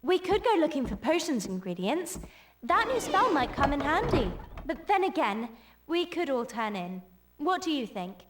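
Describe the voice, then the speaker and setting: A woman voicing a bright, studious schoolgirl witch in a video game, brisk and enthusiastic. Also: high-pitched, sing-song, very noisy. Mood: eager, knowing. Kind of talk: video game cutscene acting. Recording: music under the voice.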